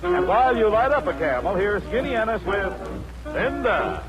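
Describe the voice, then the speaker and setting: A man's voice: high voice, very animated, moderate pace, over loud music, poor audio. A man reading a scripted 1940s radio advertisement as the announcer.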